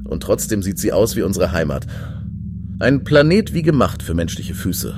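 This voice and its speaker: An actor playing a keen, hopeful space explorer who leads a crew in a science fiction audio drama. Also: male voice, deep voice, very animated, normal speed, noisy background. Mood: awed. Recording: music behind the voice.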